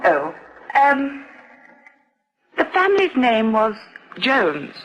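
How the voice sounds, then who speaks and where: An actress playing a proper, confiding adoption official in a radio drama, formal, deliberate and well-spoken. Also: female voice, on the high side, wildly swooping pitch, a little hiss or hum, exaggerated voice, rough recording. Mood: discreet.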